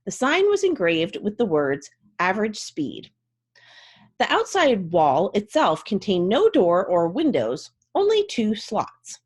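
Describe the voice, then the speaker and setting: A woman's voice: medium pitch, unhurried, hardly any pauses, slightly rough. A woman giving a dramatic, theatrical storybook reading as narrator. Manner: theatrical, expressive.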